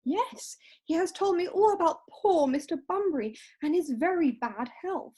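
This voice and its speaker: Casting: eager young Victorian miss played by a woman, high, swooping voice, sweetly bossy and coaxing, stage read-through. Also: animated, moderate pace, dark tone. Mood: pleading, insistent. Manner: effusive, coaxing. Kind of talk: amateur play read-through.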